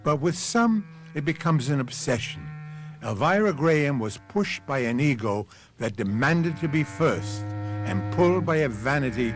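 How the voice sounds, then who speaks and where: An actor playing an ominous, moralizing storyteller who hosts and narrates a radio mystery. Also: male voice, medium pitch, lively intonation, normal speed, close to an everyday voice. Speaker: host and narrator. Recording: faint music behind narration.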